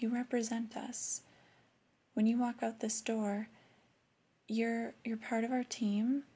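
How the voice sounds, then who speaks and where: A woman playing a displeased but calm female boss in a soft-spoken ASMR roleplay, with a breathy voice and measured, pausing delivery. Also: fairly high pitch, heavily acted. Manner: hesitant, measured, with drawn-out pauses.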